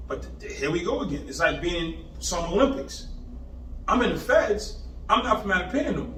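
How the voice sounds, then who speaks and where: A man in his fifties from Boston, telling his life story in animated street slang, rattling off places. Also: sits high, fairly quick. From Boston, Massachusetts. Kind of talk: casual storytelling interview. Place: indoor room on location. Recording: echoey room, far mic, faint background hiss.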